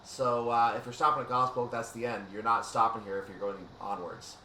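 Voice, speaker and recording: A man with a medium-pitched voice commentates on his train simulator session, unscripted and explanatory. He reasons aloud as he goes. The sound is echoey and set back from the mic, with a steady rumble behind him. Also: fairly quick, distant mic. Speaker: gaming video host.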